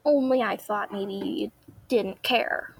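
A woman's voice: mid-range voice, slow pace, vocal fry, breathy edge, dry recording, heavily acted. A young woman playing a plaintive, stubborn child sidekick in a classic radio drama. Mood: sulky, reluctant.

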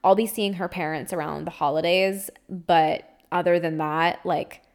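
A woman's voice: fairly low pitch, fairly even intonation, normal speed, clean tone. A woman in her twenties as a podcast host, telling a candid, chatty storytime monologue full of fillers and small laughs. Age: 20s.

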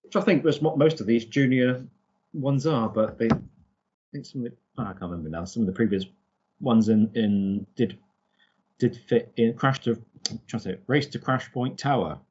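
A man co-hosting a podcast, discussing books casually and haltingly, repeating words as he thinks. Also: mid-range voice, expressive, darkish tone, slightly rough, lo-fi sound. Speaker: podcast co-host.

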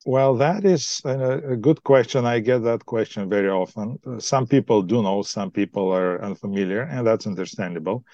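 Low-voiced Bulgarian-accented pastor. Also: male voice, normal speed, few pauses, dark tone, no echo. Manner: explanatory, thoughtful, frequent hesitations. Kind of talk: podcast interview answers. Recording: dry, dull lo-fi sound.

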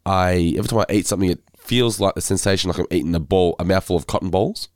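A man co-hosting a casual podcast, his voice congested and hoarse from a head cold, talking in a weary, self-deprecating way. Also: deep voice, steady tone, quick pace, no room sound, clean studio sound. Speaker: podcast co-host.

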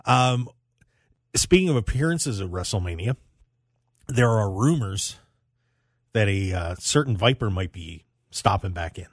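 American guy doing sports talk radio, lowish voice, super dry close sound, repeats stuff for punch. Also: animated, unhurried, long pauses, no echo. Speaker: radio show host.

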